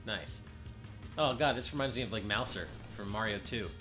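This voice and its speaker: A man's voice: on the low side, moderate pace, pushed and bright. A man streaming a video game, chatting casually in a slightly nasal voice and often breaking off mid-sentence as he plays. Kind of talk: casual live-stream gameplay commentary. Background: game music.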